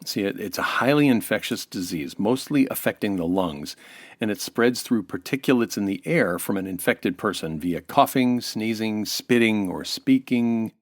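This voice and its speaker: A man's voice: fairly low pitch, lively intonation, moderate pace, no echo, studio quality. An American man as an interview guest, giving an affable, informative explanation in a semi-scripted style.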